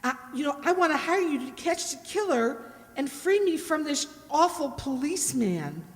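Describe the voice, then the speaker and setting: Echoey, high-voiced prim schoolteacher played by a woman. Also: very animated, moderate pace. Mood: plaintive, coy. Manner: hesitant, fussy, plaintive. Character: prim, fretful spinster schoolteacher, naive and earnest. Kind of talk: old-time radio detective drama acting. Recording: very reverberant room or hall.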